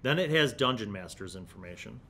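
A man's voice: fairly low pitch, lively intonation, fairly quick, a bit bright, slight background noise. A middle-aged man hosts a casual review, musing in stop-and-start phrases and reading excerpts aloud into a desk mic. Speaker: host of a tabletop gaming review series. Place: small indoor studio room. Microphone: desk microphone in front of him.

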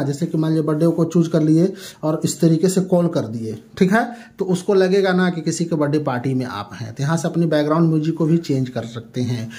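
A man's voice: a little high, darkish tone. A man hosting a tech tutorial, explaining an app step by step in a repetitive, instructional way.

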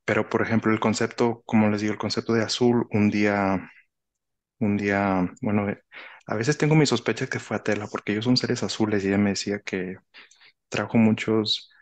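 A man in his thirties with a Mexican accent and a somewhat low voice talks in a casual, explanatory way. He is reflective and halting, often repeating a phrase before carrying on. He speaks into a headset on a video call. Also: steady tone. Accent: Mexican Spanish. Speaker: author, interview guest. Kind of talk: casual conversational explaining with anecdotes. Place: indoor room, video call.

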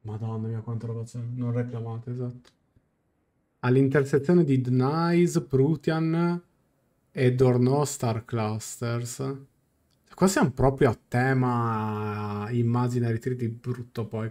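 A young man streaming a game, translating dialogue aloud in a halting, casual reaction. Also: mid-range voice, steady tone, dark tone. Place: small room indoors, on webcam.

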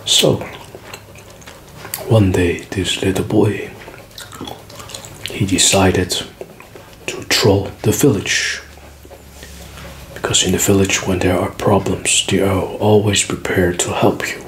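A man whispering a story softly for an ASMR video, with gum-chewing clicks behind him. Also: low-pitched, steady tone. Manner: soft whispering, slow and deliberate. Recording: echoey room with background noise.

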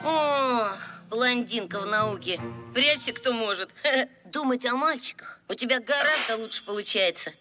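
A woman voicing a sarcastic young girl fairy in an animated cartoon, mocking and offhand in manner. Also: fairly high pitch, unhurried. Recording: loud music under the voice.

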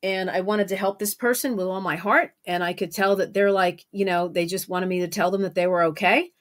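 A woman's voice: mid-range voice, steady tone, brisk. A middle-aged woman giving an unscripted solo podcast monologue as a spiritual guide, emphatic and repeating phrases for stress.

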